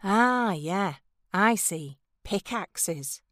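Sardonic female adventurer for audio drama, woman actor: medium pitch, unhurried, blunt, impatient, dry close sound. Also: animated, fairly bright tone, no echo. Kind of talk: fantasy audio drama acting.

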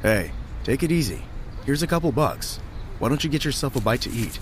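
A man playing a calm, kind wealthy father. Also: medium pitch, a little fast, a faint crowd, slight background noise, natural voice. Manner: calm, reassuring.

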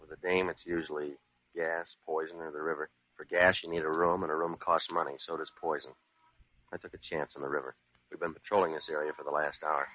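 An American man playing a tough, cynical, world-weary police lieutenant in a classic radio drama, gruff and laconic. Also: very deep voice, level tone, fast. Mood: dry, cynical. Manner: gruff, laconic, hard-boiled. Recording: dull, roomy old radio recording.

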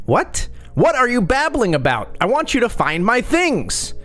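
A man's voice: on the high side, lively intonation, normal speed, a bit bright, soft background music. A man voicing a furious, entitled middle-aged woman shopper who screeches her demands. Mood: screeching.

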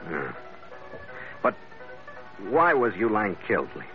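An actor playing a tough, brisk American ship captain who narrates his adventure in an old-time radio drama, terse and hard-boiled. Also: lowish voice, huge pitch swings, full character voice. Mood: probing. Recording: dull old radio recording with music.

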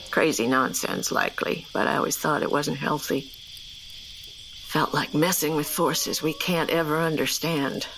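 An actress playing an elderly rural great-aunt, stern, worried and superstitious, speaking in a brusque, folksy, halting way in an audio drama. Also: female voice, deep voice, a bit bright, slight background noise, low-quality recording.

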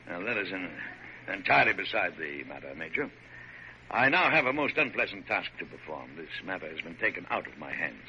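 An actor playing a dignified, kindly but firm older American President, speaking formally and with measure in a classic radio drama. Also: male voice, deep voice, pushed and bright, full character voice. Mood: stern, flustered. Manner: formal, measured, hesitant at times. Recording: noisy, dull vintage radio recording.

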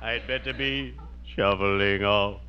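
An actor playing a friendly, morbidly genteel undertaker in old radio comedy, speaking formally and solemnly with drawn-out words and macabre puns. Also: male voice, fairly low pitch, natural voice. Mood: dry, matter-of-fact. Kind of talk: 1940s radio comedy acting. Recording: dull, noisy old radio recording.

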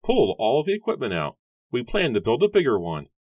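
Man doing a brusque heavyset old farmer, raised pitch, dry read. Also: wildly swooping pitch, a little fast, a little mellow, no room sound, lo-fi sound. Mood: commanding. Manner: curt, grudging. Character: gruff heavyset older male farmer, brusque.

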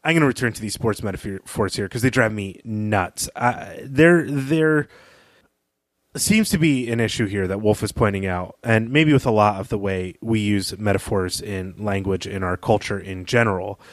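A man's voice: fairly low pitch, moderate pace, dry recording. A man co-hosting a podcast, talking through literary analysis in a conversational way. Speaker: podcast host.

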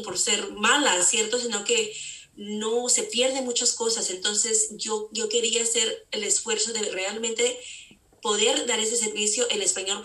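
A woman's voice: mid-range voice, sharp tone. A woman therapist, a guest on a casual interview podcast, explaining earnestly and often restarting her sentences while she searches for words. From California. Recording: echoey room, distant mic.

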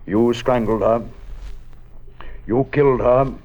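A man's voice: mid-range voice, steady tone, slow. An actor playing a stern lawman in a vintage radio crime drama, terse and blunt. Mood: accusing. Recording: thin, phone-like vintage radio recording.

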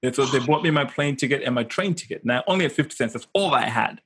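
A man speaks as an interview guest at a middling pitch. He tells personal stories informally and emphatically, often checking that the listener is following. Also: animated, quick pace, fairly bright tone, husky. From Zimbabwe. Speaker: interview guest, consultant and coach. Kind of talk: animated personal storytelling in casual conversation.

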